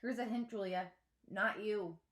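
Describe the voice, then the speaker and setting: A woman's voice: medium pitch, measured pace, fairly bright tone, roomy sound, close to an everyday voice. A woman reading a storybook voices a helpful schoolboy defending a friend in a pointed, teasing manner.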